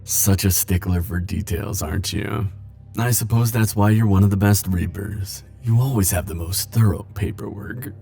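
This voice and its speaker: A man playing a lovesick, world-weary immortal in an intimate romantic audio roleplay, speaking haltingly and emotionally. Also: on the low side, creaky, soft background music. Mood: wry, flirtatious. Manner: halting, emotional, stammering confessions with soft wry asides.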